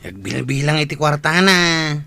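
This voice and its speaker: Bright-voiced nosy village man played by a man. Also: fairly high pitch, moderate pace, creaky, clear, resonant tone. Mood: nosy gossip. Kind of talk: Ilocano comedy radio drama acting. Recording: faint background noise.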